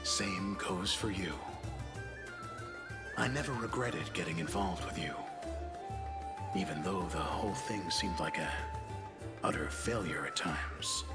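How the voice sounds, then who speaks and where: An actor playing a world-weary, guarded aging dwarf assassin in a video game, gruff and measured, with a hidden tender side. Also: male voice, sits low. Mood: guarded affection. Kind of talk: scripted video game dialogue acting. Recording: game music behind the voice.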